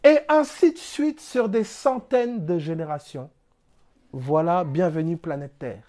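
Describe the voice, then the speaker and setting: A man's voice: a little high, animated, a little slow, darkish tone. A man from France giving a spiritual teaching talk, explaining through everyday examples and rhetorical questions in a plainspoken, casual way. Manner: plainspoken, casual turns of phrase.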